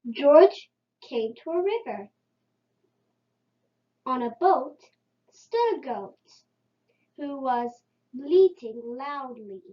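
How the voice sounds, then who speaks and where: Very slow, high-voiced woman storyteller. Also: lively intonation, lo-fi sound. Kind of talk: read-aloud children's story narration.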